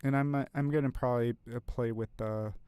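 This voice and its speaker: A man's voice: mid-range voice, fairly even intonation, fairly quick, dark tone, clean tone, no room sound. A man composing music on a live stream, thinking aloud in a casual, rambling way with many fillers. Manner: talks to chat, reads out viewer comments. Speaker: composer streaming his work.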